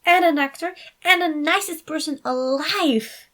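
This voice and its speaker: A woman voicing an excited, teasing teenage niece in an eager, gushing manner. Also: high-pitched, unhurried, voice pushed up.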